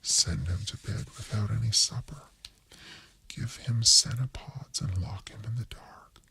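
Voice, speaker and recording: Man playing a tutting female neighbour, disapproving, gossipy, very husky whisper, radio play. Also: lowish voice, a little slow, bright, forceful tone, heavily acted. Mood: scolding. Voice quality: breathy whisper. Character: scandalised gossiping small-town neighbour women, scolding.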